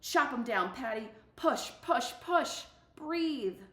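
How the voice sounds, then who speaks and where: A woman's voice: high-pitched, lively intonation, takes it slowly, fairly bright tone, pitched above the performer's own voice, heavily acted. Woman as a sassy young girl runner, echoey room. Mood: driven, urgent. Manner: slangy, emphatic first-person storytelling. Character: Patty, fiercely competitive, sassy middle-school girl track runner. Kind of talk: expressive audiobook-style read-aloud.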